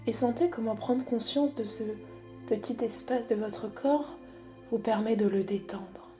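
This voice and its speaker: A woman guiding a body scan meditation in a calm, unhurried, soothing manner with long pauses. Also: fairly high pitch, faint music behind. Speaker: psychologist guiding a relaxation exercise.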